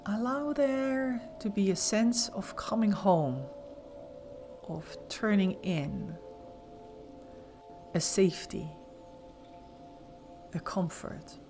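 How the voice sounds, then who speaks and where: A woman leading a guided meditation as an instructor, giving gentle, soothing, softly spoken instructions with pauses. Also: medium pitch, lively intonation, faint music behind.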